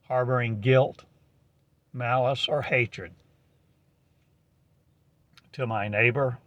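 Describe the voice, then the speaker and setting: A man delivers a prophetic monologue in a slow, halting, solemn cadence, full of archaic turns of phrase. His voice sits at an ordinary pitch and is recorded close and dry, with no room around it. The recording is dull and lo-fi. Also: dry recording.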